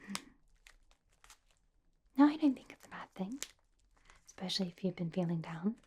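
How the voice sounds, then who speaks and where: A woman playing a studious, caring teenage schoolgirl witch in a whispered, breathy ASMR roleplay, speaking gently and helpfully with small hesitant pauses. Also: lowish voice, expressive, a little hiss or hum, natural voice. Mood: gently advising, then soothing, caring.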